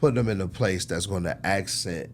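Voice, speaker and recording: A man's voice: low voice, fairly quick, a little hiss or hum. A man speaking as a music executive in a casual interview, in slangy talk peppered with "you know" and "man".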